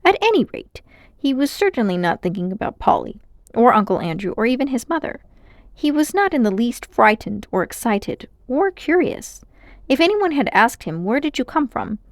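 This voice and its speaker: High-pitched, very expressive woman storyteller. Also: normal speed, no echo, clean studio sound. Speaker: storybook narrator. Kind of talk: expressive read-aloud storytelling.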